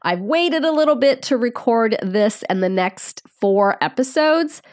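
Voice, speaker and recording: A woman's voice: a little high, animated, a little slow, a little mellow. A woman with a nasal, congested voice from a cold, teaching as a nursing podcast host in a conversational, friendly, explanatory way.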